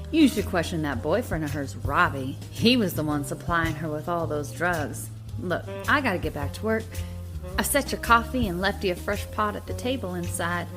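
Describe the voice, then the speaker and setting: A woman's voice: on the low side, moderate pace, natural voice. A woman playing a gossipy, jaded older diner waitress with a sassy manner in an audio drama. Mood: wistful, testy. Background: music.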